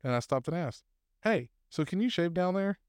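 A man's voice: high-pitched, animated, moderate pace, a little mellow, clean tone, dry recording, studio quality. A man co-hosting a casual podcast, reading posted stories aloud with fillers and laughs.

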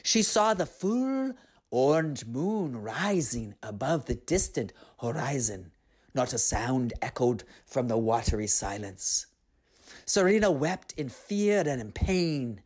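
An unhurried storyteller with an earnest, reflective manner. The delivery is slow and measured, suited to reading a folk tale and musing on it. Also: medium pitch, very animated, darkish tone, slightly rough. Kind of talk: scripted storybook narration with reflective commentary.

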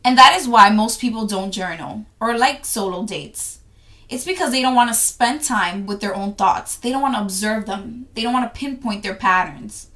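A young woman from the Dominican Republic with a Dominican accent, reading her own journal entries aloud in a soft, reflective, earnest way. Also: medium pitch, normal speed. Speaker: journaling vlogger.

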